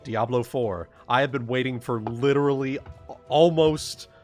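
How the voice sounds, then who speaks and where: A man casually chatting as game master of an online tabletop game, talking in a halting, unscripted way and swearing freely. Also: medium pitch. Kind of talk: casual chat and off-the-cuff game recap. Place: online group voice call. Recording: background music behind the voice.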